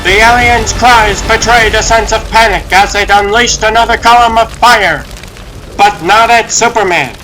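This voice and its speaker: High-pitched male dramatic narrator. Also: moderate pace, low-quality recording.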